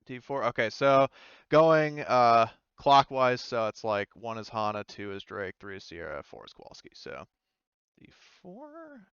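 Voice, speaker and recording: A man running a tabletop roleplaying game as game master, talking casually and haltingly as he works out the rules aloud. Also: mid-range voice, sing-song, fairly bright tone, clear, resonant tone, muffled recording. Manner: halting, thinking aloud while working out rules.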